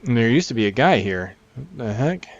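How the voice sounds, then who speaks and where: A man's voice: medium pitch, sing-song, a little fast, vocal fry, no echo, muffled recording. Man rambling casually over gameplay. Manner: rambling, thinking aloud. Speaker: gamer presenting a game preview. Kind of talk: casual unscripted gameplay commentary. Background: game music.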